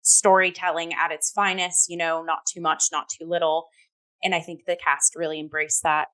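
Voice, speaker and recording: A woman's voice: low-pitched, flat delivery, normal speed, fairly bright tone, low-quality recording. A woman co-hosting a theatre review show, talking casually and enthusiastically and peppering her speech with "like".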